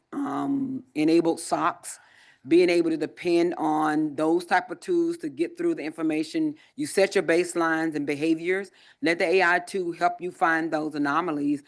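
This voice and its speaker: A man presenting at a conference, answering audience questions in a measured way with frequent pauses and ums. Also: on the high side. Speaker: conference presenter, Air Force technology leader.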